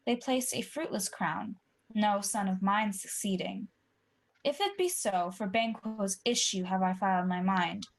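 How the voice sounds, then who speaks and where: A woman's voice: medium pitch, a little slow, close to an everyday voice. A young woman acting an ambitious, guilty usurper king in a Shakespeare radio play. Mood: brooding. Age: young adult. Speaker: student actor.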